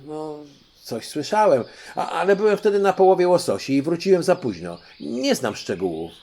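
Man acting a flustered, stammering young prince, very animated. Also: fairly high pitch, normal speed, pitched above the performer's own voice. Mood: impatient. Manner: stammering, impatient. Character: young African prince, male, eager, hesitant, easily flustered. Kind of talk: audiobook storytelling.

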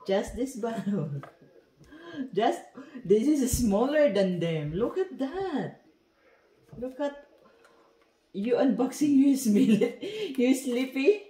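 A woman's voice: fairly high pitch, very animated, takes it slowly, dark tone. A woman whose first language is Tagalog, chatting casually in broken English while unboxing perfume at home. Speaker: vlogger. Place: dining room at home. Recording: echoey room.

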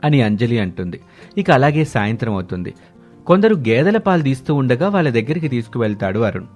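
A man's voice: mid-range voice, big pitch swings, brisk, a little mellow. A man narrating a scripted story as its narrator. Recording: music bed under the voice.

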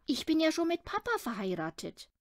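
Storybook mother voiced by a woman: patient yet firm, higher register. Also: big pitch swings, fairly quick, dry recording, clean studio sound. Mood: reassuring. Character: gentle but firm mother of a young boy.

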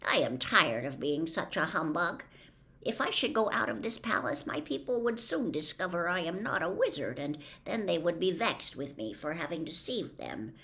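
A woman's voice: on the low side, big pitch swings, normal speed, full character voice. A woman in her sixties voicing a humble male wizard who admits to being a humbug, speaking in a mild, confiding way. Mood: earnest. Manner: mild, confiding, gently pleasant.

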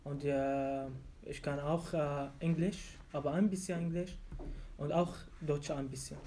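Slow, halting young man with an Arabic accent. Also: fairly high pitch, steady tone, dark tone, a little hiss or hum. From Syria. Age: 20s. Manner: hesitant, halting, many fillers and restarts. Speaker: exam candidate. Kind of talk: simulated oral language exam. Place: office room, indoors.

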